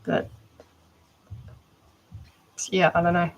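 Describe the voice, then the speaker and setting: A rambling, chatty Australian woman with a slightly low voice, talking her thoughts through out loud as she works. She keeps the tone casual and conversational, like a crafter chatting to viewers on a live stream. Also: level tone, very slow, gravelly, low-quality recording. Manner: chatty, rambling, thinking aloud. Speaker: art doll maker. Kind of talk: casual livestream chat while crafting.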